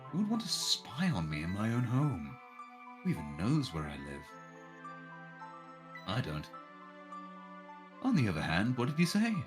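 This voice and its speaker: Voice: medium pitch, wildly swooping pitch, a little slow, pauses often, music in the background. A streamer reading a visual novel's narration aloud in a dramatic way.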